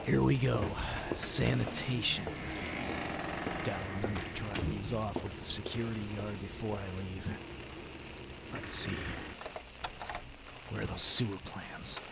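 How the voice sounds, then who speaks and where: An actor playing a young speakeasy owner who is secretly a commanding, earnest vigilante in an old-time radio drama. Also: male voice, fairly low pitch, steady tone, a little slow, creaky, pauses often, heavy background noise. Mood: musing. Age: young adult.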